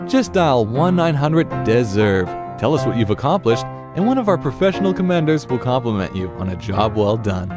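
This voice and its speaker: Man as a slick, lively infomercial pitchman. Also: normal speed, hardly any pauses, close to an everyday voice. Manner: slick salesman delivery. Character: smug male hotline commercial pitchman. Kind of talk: parody infomercial. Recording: guitar music behind the voice.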